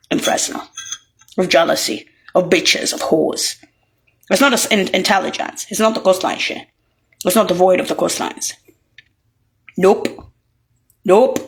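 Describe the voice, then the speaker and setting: A woman talking alone in a rambling, profane rant that jumps between topics and breaks into sudden shouts. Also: mid-range voice, gravelly. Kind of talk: rambling solo monologue.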